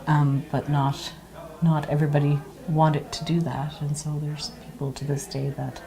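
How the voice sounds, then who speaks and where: A woman from Nova Scotia reflecting in an interview, thoughtful and hesitant with many pauses. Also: deep voice, little pitch movement, gravelly, a crowd in the background, rough recording.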